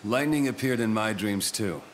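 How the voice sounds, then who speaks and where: An actor playing a brash, stubborn young hero in a video game, with a casual, cocky way of talking. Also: male voice, lowish voice, normal speed, slight background noise, close to an everyday voice. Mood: earnest, determined. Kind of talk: dramatic video game voice acting. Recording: loud game music behind the voice.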